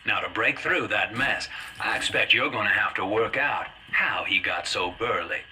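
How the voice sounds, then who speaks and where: An actor playing a folksy, wisecracking hustler in a retro game, with a chummy drawl. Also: male voice, on the low side, moderate pace, pushed and bright. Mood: jovial, instructive. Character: folksy, slick fast-talking male hustler guiding the player. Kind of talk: stylized retro game voice acting, colorful period slang. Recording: noisy radio-style transmission.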